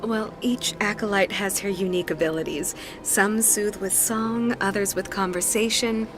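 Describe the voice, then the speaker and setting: A woman voicing a courteous, poised alien acolyte who receives clients in a video game, polite, soothing and measured. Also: medium pitch, fairly even intonation, lightly acted. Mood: serene, inviting. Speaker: receptionist acolyte. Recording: game music behind the voice.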